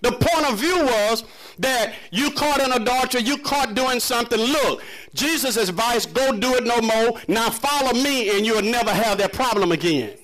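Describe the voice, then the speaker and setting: A man's voice: very high voice, moderate pace, fairly bright tone, rough recording. A man preaching a sermon in an emphatic, conversational and challenging manner, full of rhetorical questions. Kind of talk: impassioned sermon to a congregation.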